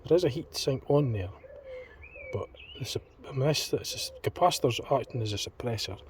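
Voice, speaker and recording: A man thinking aloud in an unscripted hands-on demonstration, speaking in a hesitant, tentative, halting way. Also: mid-range voice, animated, darkish tone. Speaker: hobbyist demonstrator in a homemade teardown video. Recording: distant mic, clearly noisy homemade recording.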